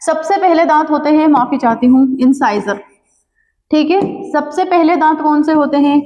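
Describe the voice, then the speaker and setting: A woman's voice: high-pitched, normal speed, big-room sound, highs cut off. A woman teaching a lesson, asking the class questions and then answering them herself. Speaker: biology teacher.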